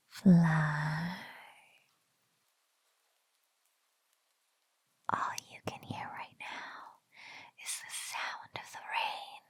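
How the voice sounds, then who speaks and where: A woman voicing a sultry, smugly menacing spider-woman bully in an intimate ASMR roleplay, breathy and often slipping into whispers, with mock-pitying coos. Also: mid-range voice, dry recording, full character voice. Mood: hushed, menacing.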